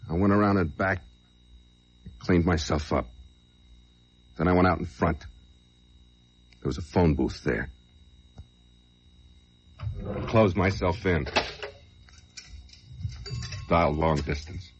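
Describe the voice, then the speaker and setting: An actor playing a desperate, brooding fugitive killer in an old-time radio crime drama, given to overwrought outbursts. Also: male voice, sits low, takes it slowly, long pauses. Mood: brooding, tense. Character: desperate fugitive man who killed a truck driver. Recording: dull, noisy old radio recording.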